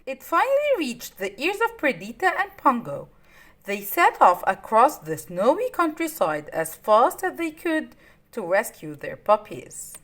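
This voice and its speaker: A woman reading a children's story aloud in an expressive, dramatic way. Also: a little high. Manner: expressive, dramatic, deliberate phrasing.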